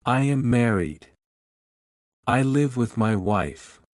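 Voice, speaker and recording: A man reading one side of a scripted English lesson dialogue, speaking in simple sentences with deliberate, even spacing. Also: medium pitch, no room sound, clean studio sound. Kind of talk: slow scripted practice dialogue.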